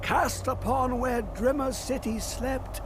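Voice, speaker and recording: Crazed old hermit scholar, high-pitched, slow, singsong, cryptic fantasy game character, noisy ambience. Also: male voice, steady tone. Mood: sly, boastful. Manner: singsong, erratic, whispering and exclaiming, rambling. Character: mad, rambling old male hermit scholar, rapturous and cryptic. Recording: clear background game ambience noise.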